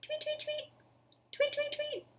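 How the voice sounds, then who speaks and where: Tiny storybook birds, chirp in an extremely high, squeaky little voice. The delivery is light and repetitive. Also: female voice, completely flat delivery, takes it slowly. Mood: chirpy.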